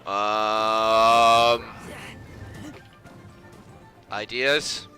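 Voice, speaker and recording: A man's voice: lowish voice, level tone, very slow, pushed and bright. A man streaming a game with casual, profane commentary, muttering reactions as he plays. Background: game music.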